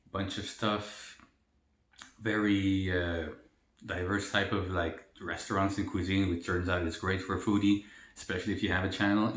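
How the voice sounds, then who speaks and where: A young man from El Salvador talks through a casual question-and-answer vlog, relaxed and rambling with plenty of fillers. His voice sits fairly low. The room gives the recording a noticeable echo. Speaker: mukbang vlogger. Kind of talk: casual Q&A while eating. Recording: roomy echo.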